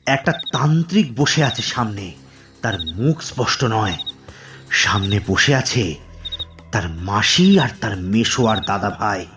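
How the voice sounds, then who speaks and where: Slow male horror storyteller. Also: on the low side, expressive, over music. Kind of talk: dramatic scripted horror narration.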